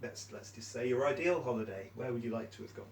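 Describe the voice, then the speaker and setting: A man's voice: mid-range voice, expressive, fast, darkish tone, a little hiss or hum. Cheery guy running a training group, lots of ums, roomy far-off sound. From Cornwall, England. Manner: upbeat and encouraging, frequent fillers. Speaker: trainer leading a session. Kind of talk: friendly training-session facilitation. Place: small training room. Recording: echoey room, mic at a distance.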